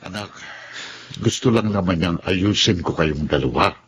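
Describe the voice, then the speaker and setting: An actor playing a gentle, wise middle-aged father in a Tagalog radio drama, slipping English phrases into his Tagalog. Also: deep voice, normal speed, a little hiss or hum, close to an everyday voice. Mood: gentle, persuasive.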